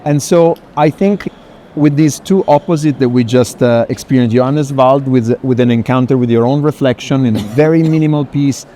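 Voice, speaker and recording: A man's voice: mid-range voice, normal speed, warm tone. A man moderating a casual conversation at an art fair, thoughtful and often rephrasing as he goes. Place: art fair, walking tour. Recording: faint noisy fair hall ambience.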